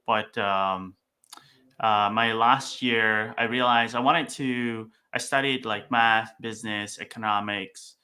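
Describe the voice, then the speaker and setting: A man's voice: on the low side, steady tone, slow, sharp tone. A young man from Vietnam, a startup founder talking casually as a podcast guest, with many fillers and restarts. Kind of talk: casual interview talk.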